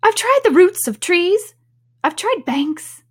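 A woman's voice: very animated, slow pace, heavily acted. A woman voicing a frantic, scolding mother pigeon from a storybook, screeching and exasperated. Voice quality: shrill, screeching. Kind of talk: storybook character voice.

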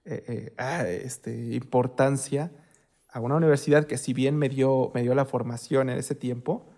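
A man with a Mexican accent and a mid-range pitch. He talks casually on a podcast, stopping often to hunt for the right word. His voice drops off softly at the ends of phrases. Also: steady tone, measured pace. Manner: hesitant, searches for words, trails off softly. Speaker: podcast co-host. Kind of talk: casual conversation.

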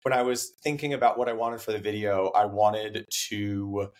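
A young man, hoarse from laryngitis and a bit deeper than usual, telling animated stories in a casual interview and acting out his own quotes. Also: normal speed. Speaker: singer-songwriter guest.

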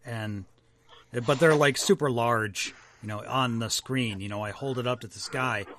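A man's voice: mid-range voice, normal speed, fairly bright tone, slight background noise. A man in his fifties co-hosting a casual podcast chat, reading news items aloud and laughing easily. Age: 50s. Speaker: podcast co-host.

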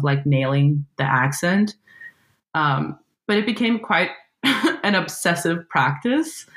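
A woman's voice: low voice, fairly bright tone. A young woman from Brazil who speaks with an American accent, talking reflectively as a poet guest, with many "like" and "um" fillers. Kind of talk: casual interview conversation.

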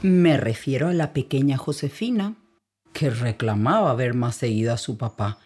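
A woman's voice: rumbling low, moderate pace, darkish tone, husky. A woman with a Paraguayan accent playing a gossipy, wry narrator who confides a scandalous family story in an audio drama. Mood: wry confiding. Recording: music bed under the voice.

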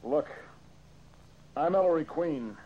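An actor playing a composed, clever amateur detective, American, earnest and clipped, in old-time radio mystery style. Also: male voice, on the high side, animated, slow, breathy edge, heavily acted. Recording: muffled, hissy old radio recording.

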